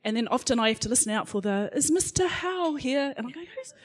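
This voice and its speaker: A woman's voice: sits high, lively intonation, a little fast. Frank middle-aged New woman. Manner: frank, self-deprecating, many fillers and restarts. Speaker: panelist. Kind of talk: candid personal talk on a live panel. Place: live panel event before an audience.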